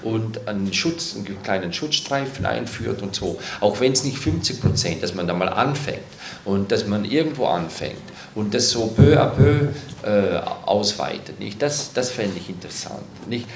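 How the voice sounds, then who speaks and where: A man's voice: on the low side, animated, normal speed, gravelly, few pauses, slight background noise. A man on a panel speaking in a rambling way, with many fillers and tag questions. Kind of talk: panel discussion. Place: hall at a public event. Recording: roomy hall.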